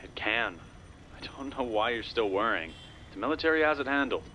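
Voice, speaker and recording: A man's voice: medium pitch, lively intonation, brisk, sharp tone, slight background noise. A man playing an earnest, dutiful American soldier in an audio drama. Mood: determined. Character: earnest American soldier, survivor, confident in the army.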